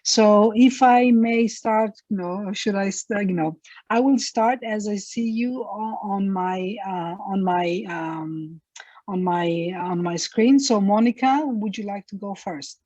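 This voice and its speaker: A woman's voice: medium pitch, steady tone, measured pace, dark tone, no room sound. A woman moderates an online panel, her voice dry and dull as it comes through a video call. She halts and repeats herself as she searches for words.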